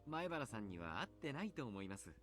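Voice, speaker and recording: An actor playing a jovial, kindly adult coach in a visual novel, polite, chatty and playfully teasing. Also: male voice, mid-range voice, sing-song, very fast, fairly bright tone, faint music behind. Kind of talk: Japanese visual novel voice acting.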